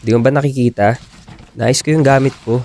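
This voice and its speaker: An actor playing a scornful, fed-up boyfriend in a radio drama, curt and exasperated. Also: male voice, medium pitch, steady tone, fairly quick, a little mellow, a little hiss or hum, lightly acted. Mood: irritated.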